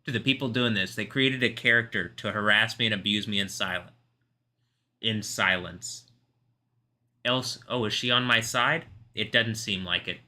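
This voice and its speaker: A man hosting a live stream, talking in an intense, rambling way, confrontational at times and soft-spoken at others. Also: lowish voice, normal speed. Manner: calls himself soft-spoken. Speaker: livestream host.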